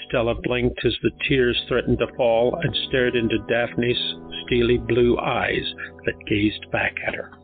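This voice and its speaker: A man narrating an audio drama in a steady storytelling manner. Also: mid-range voice, a little slow. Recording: tinny phone-like sound, faint music.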